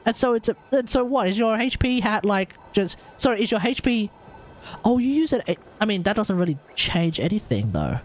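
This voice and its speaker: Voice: medium pitch, big pitch swings, moderate pace. A game streamer chatting casually and rambling through builds in an incredulous, sweary way. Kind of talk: casual livestream chat about game builds.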